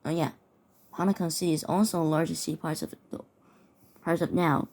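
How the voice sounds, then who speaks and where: Woman reading storm warnings, lowish voice, trips over words and repeats herself a lot. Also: little pitch movement, a little mellow, a little hiss or hum. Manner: halting, stumbles and repeats words. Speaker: mock emergency alert narrator. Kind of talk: reading mock weather warnings with commentary.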